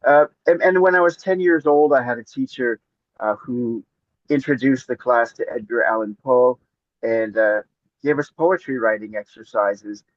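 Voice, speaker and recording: Man from northern Maine, hesitant, pausing often, dull dry call audio. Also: mid-range voice, dry recording. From northern Maine, United States. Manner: measured, hesitant, with many pauses. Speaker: poet guest. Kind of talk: interview answers.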